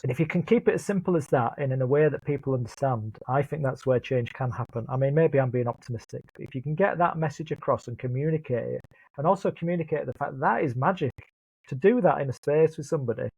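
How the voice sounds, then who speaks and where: A man's voice: medium pitch, quick pace, vocal fry, a little mellow, husky, no room sound, low-quality recording. A man with a Leeds accent, speaking earnestly and thoughtfully as a guest in an interview, often repeating words as he starts a thought. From Leeds, England. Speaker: guest, project lead. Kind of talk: reflective interview conversation.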